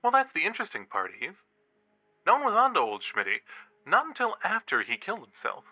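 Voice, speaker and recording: An actor playing an eager young college true-crime podcaster in a horror audio drama, a teasing, suspenseful storyteller. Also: male voice, sits high, wildly swooping pitch, a little fast, highs cut off, heavily acted. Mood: intrigued, teasing. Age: young adult.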